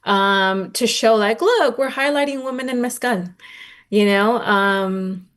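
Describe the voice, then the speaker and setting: A woman's voice: fairly high pitch, slow, fairly bright tone. Reflective woman in conversation. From raised in Los Angeles, born in Oaxaca. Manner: reflective, informal, frequent "like" and retold dialogue. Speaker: podcast guest, nonprofit director and advocate. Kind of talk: conversational interview answers.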